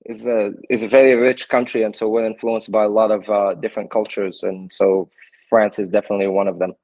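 A man's voice: on the low side, level tone, brisk, darkish tone, no room sound, poor audio. A man from Morocco, a soccer coach, answering interview questions casually and linking his thoughts with "and so". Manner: strings thoughts together with "and so".

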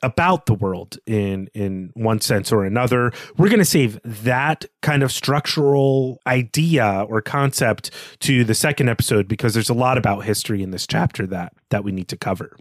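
A man's voice: mid-range voice, no echo, clean studio sound. A man co-hosting a literary discussion, explaining in an organized way and signposting each point as he goes. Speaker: podcast co-host.

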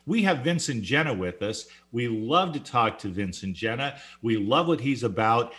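A man's voice: medium pitch, animated, normal speed, a bit bright. A man co-hosting a talk show, chatting with enthusiastic banter. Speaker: co-host. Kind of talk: casual radio chat.

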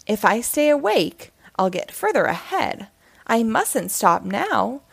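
A woman's voice: fairly high pitch, very animated, takes it slowly, gravelly, a bit bright, husky, no echo, heavily acted. A cocky male squirrel sailor from a picture book, voiced by a woman, smug and competitive. The voice swings up and down with boastful flair and takes its time. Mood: tired determination. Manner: taunting, smug.

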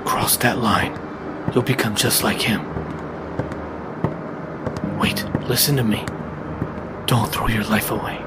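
A man playing a grim, controlled masked vigilante detective in an audio drama, terse and hushed. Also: fairly low pitch, steady tone, slow pace, pauses often, very noisy. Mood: grim, urgent. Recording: loud music under the voice.

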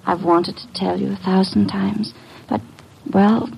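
A woman's voice: medium pitch, fairly even intonation, measured pace, vocal fry, darkish tone, slight background noise, low-quality recording. An actress playing an earnest, protective young woman in love in a classic radio drama. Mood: tender.

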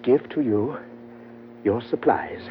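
Courteous gentleman trader male actor, measured and formal, unhurried, narrow hummy vintage radio sound. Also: medium pitch, lightly acted. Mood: gracious. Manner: formal, deliberate, halting politeness. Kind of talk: 1940s radio drama acting. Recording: band-limited old radio with hum.

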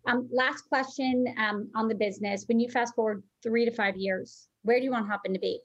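A woman's voice: on the high side, fairly even intonation, a little fast, dull audio. A woman interviewer asking questions in an upbeat, encouraging way.

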